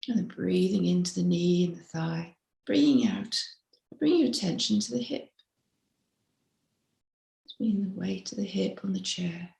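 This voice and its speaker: A woman mindfulness teacher leading a slow guided meditation in a gentle, unhurried, instructive way. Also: lowish voice, vocal fry. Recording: roomy, echoey sound.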